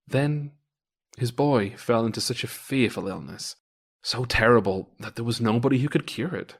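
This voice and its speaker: A man narrating a folktale as storyteller in a dramatic, theatrical and expressive manner. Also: mid-range voice, creaky. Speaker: storyteller, podcast host.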